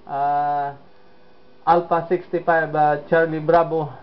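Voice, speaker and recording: Flat-voiced man on a ham radio. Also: a little high, dull audio. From Philippines. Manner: steady and unhurried, with frequent fillers. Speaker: amateur radio operator. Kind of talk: casual ham radio contact.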